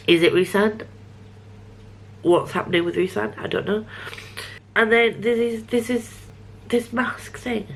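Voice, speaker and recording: A young woman rambling casually about a book she's reading, a bit sniffly, often restarting her sentences. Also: fairly low pitch. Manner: chatty, self-interrupting, frequent restarts. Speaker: book vlogger. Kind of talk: rambling casual vlog chat.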